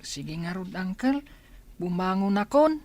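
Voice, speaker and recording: An actress playing a pleading, dutiful school-age child who is eager to study, in a Filipino radio drama. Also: female voice, medium pitch, lively intonation, unhurried, darkish tone, no room sound, close to an everyday voice. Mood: meek, dismayed.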